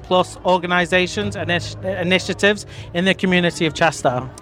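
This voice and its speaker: A man's voice: high voice, brisk, bright, forceful tone, soft background music. A man interviewing guests live at an outdoor festival, upbeat and chatty, asking long questions with restarts. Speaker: podcast interviewer.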